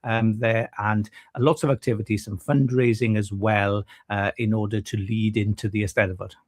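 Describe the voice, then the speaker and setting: A man's voice: on the low side, level tone, moderate pace. A man, a radio guest talking about an event in a hesitant way with many fillers. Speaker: studio guest, event organiser. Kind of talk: radio interview.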